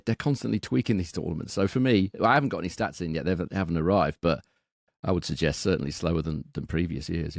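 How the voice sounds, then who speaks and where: Co-host with a very low voice, halting and repetitive, dry recording. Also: male voice, a little mellow, dry recording. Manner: hesitant, repeats words, thinks aloud. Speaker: podcast co-host. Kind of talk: casual betting analysis.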